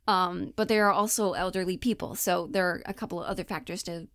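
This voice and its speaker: A woman in her twenties giving casual, informal acting instruction in an animated manner. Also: fairly low pitch, a little fast, dry recording, studio quality. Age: 20s. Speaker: acting coach.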